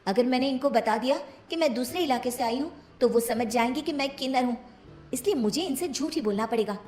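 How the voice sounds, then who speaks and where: A woman's voice: high voice, a little fast, a little mellow, roomy sound, natural voice. A woman playing a naive but defiant young transgender girl in a cartoon moral story, speaking earnestly and expressively. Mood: shy, earnest.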